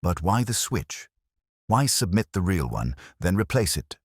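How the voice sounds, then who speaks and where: A man voicing a shrewd, terse police inspector with a dry, deliberate manner in an audiobook mystery. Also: low-pitched, big pitch swings, breathy edge, no echo, heavily acted. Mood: musing.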